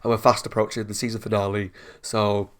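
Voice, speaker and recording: A man's voice: on the low side, flat delivery, a little fast. A man hosting a TV reaction channel, congested with a cold, chatting in a rambling, casual way and laughing often.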